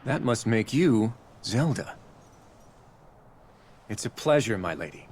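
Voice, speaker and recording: A man's voice: fairly low pitch, animated, slow pace, a little mellow. A man playing a courteous, confident warrior leader in a video game cutscene. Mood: courteous, commanding.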